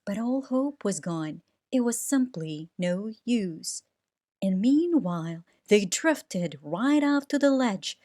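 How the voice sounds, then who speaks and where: A woman reading a rhyming children's story aloud in an expressive, theatrical way with deliberate phrasing. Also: medium pitch. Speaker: storybook reader.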